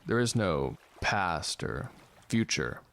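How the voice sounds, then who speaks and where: An actor playing a gloomy, philosophical man on a ship in an audio drama, with a solemn deadpan delivery. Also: sits low, slow pace, creaky, exaggerated voice. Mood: somber, musing. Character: gloomy philosophical ship's man, fatalistic and slow to romance.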